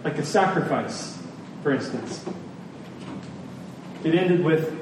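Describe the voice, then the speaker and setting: A man preaching a sermon to a congregation in an earnest, deliberate manner, repeating phrases for emphasis. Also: a little high. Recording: very echoey room, distant mic, faint noise.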